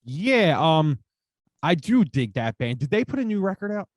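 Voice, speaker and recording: A man's voice: on the high side, sing-song, normal speed, no echo, studio quality. A man co-hosting a podcast in casual chat, blunt and emphatic, swearing freely. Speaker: podcast co-host.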